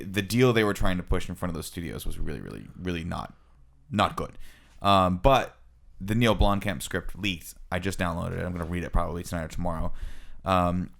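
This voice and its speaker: A man's voice: low-pitched, gravelly, a bit bright. An American man in his thirties co-hosting a casual panel podcast, earnestly explaining and posing questions in a rambling way. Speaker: podcast co-host introducing his topic.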